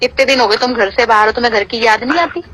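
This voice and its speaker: A woman talks quickly in a bright, fairly high voice on a recorded phone call. She is scolding and insistent, issuing commands in a private emotional argument.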